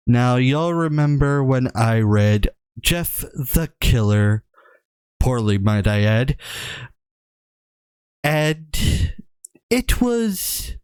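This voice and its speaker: Male streamer, unhurried, close dry sound, informal campfire-style horror reading with self-corrections and joking asides. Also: medium pitch, a little mellow, no room sound. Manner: stumbles over text and corrects himself, wry joking asides. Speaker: streamer, VTuber host. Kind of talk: casual reading of a horror story aloud with asides.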